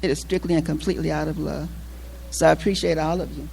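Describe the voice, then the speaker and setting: A woman's voice: sits low, steady tone, fast, creaky, a little mellow, rough recording. A woman giving an earnest personal public speech, recorded with some background noise and hum. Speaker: speaker closing a public address.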